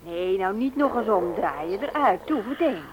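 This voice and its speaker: An actress playing a caring, gently nagging wife and mother in a Dutch radio drama, coaxing and firm. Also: medium pitch, animated, measured pace, muffled recording, crowd noise, close to an everyday voice. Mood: teasing, scolding. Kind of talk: Dutch radio play acting, domestic scene.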